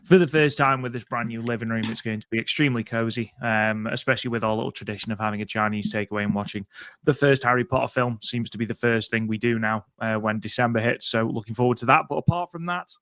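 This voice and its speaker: A man's voice: mid-range voice, quick pace, a bit bright. A British man hosting a wrestling podcast in casual chat, with dry, mock-exasperated banter. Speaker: podcast host.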